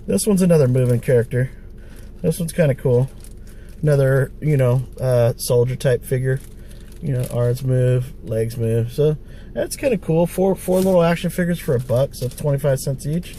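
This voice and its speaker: A middle-aged man, hoarse from a cold, chatting casually with a raspy voice in a wry, rambling way as he vlogs his thrift-store hunt. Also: a little high, moderate pace, warm tone, a little hiss or hum. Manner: wry, rambling, full of fillers. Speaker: thrift-hunting vlogger. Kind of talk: casual vlog chat. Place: outdoors by stores, street traffic.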